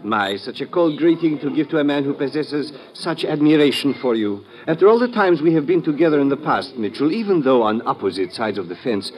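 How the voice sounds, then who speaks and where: A man's voice: a little high, animated, lightly acted, poor audio. Silky villain with swooping, mocking delivery by a man. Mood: mock friendly. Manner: florid, oily mock courtesy, drawn-out phrasing. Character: silky, mocking male foreign agent villain, menacingly polite. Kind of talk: 1950s radio drama acting.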